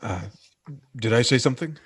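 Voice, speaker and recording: A man's voice: medium pitch, animated, normal speed, breathy edge. A man playing a trapped, resigned character in a 1940s-style radio drama, speaking in terse, hesitant, brooding phrases. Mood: dazed, brooding.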